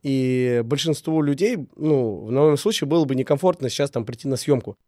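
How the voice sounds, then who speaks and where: A man's voice: mid-range voice, brisk, dark tone, no echo, studio quality. A man with a slight cold speaking casually and reflectively as an interview guest, emphatic, repeating phrases in lists. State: getting over a cold. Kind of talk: casual reflective talk on relationships.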